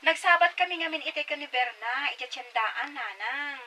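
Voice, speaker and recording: A woman's voice: high-pitched, normal speed, a crowd in the background, natural voice. A woman playing an earnest, questioning young village woman in a radio drama, with an Ilocano accent. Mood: eager, surprised.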